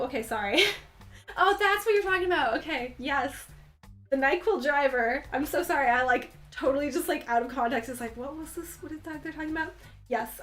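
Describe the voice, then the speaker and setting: Bubbly young streamer girl with a lisp, kinda high voice, soft music playing behind her. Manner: bubbly, chatty, lots of "like". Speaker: livestreamer. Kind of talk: casual chat reading viewer comments.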